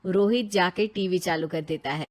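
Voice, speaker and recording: A woman's voice: lowish voice, moderate pace. A woman reading scripted narration for a cartoon story in a plain, matter-of-fact way.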